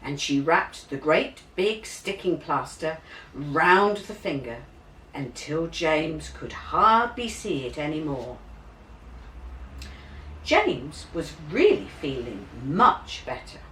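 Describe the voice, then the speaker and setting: A woman narrating a children's story expressively, with dramatic, deliberate, drawn-out emphasis. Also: sits low, big pitch swings, fairly bright tone. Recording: roomy, distant mic, faint hiss.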